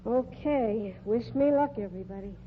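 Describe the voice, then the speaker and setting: Brassy high-voiced carnival dame played by a woman. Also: moderate pace, lightly acted. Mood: nervous. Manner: slangy, combative. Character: brassy, defensive, sharp-tongued carnival woman. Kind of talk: old-time radio mystery acting. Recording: muffled old radio recording with hum.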